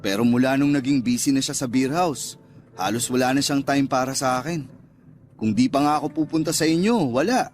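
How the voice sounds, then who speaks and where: An actor playing an indulgent, seductive Filipino sugar daddy in a radio drama. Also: male voice, medium pitch, a little fast, a little hiss or hum, lightly acted. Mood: wistful.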